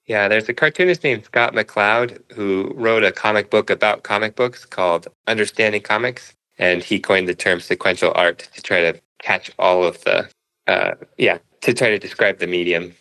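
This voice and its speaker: A man's voice: fairly low pitch, normal speed, sharp tone, poor audio. A man speaking as an interview guest, a cartoonist explaining his craft in a thoughtful, conversational way. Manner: thoughtful, explains through analogies.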